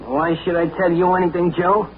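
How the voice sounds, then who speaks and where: A man playing a surly gangster henchman who talks in rough street slang, in a 1940s radio drama. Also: high voice, level tone, brisk, narrow, tinny audio, natural voice. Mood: sneering. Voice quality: tough street slang, gruff. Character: thuggish male gangster henchman, surly and panicky. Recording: scratchy 1940s radio broadcast.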